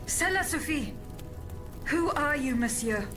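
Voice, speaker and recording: A woman's voice: sits high, animated, a little slow, over music. A woman playing a composed, resolute automaton bodyguard in a video game, with formal, earnest delivery. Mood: firm. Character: composed, resolute female automaton bodyguard, gentle but firm. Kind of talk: dramatic video game cutscene acting.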